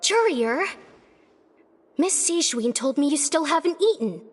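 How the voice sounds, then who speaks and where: A high-pitched, animated young video game worker. She is scolding and playful, and her voice rings with long reverb. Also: unhurried. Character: lively, scolding young female prison worker. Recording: long hall reverb, faint music.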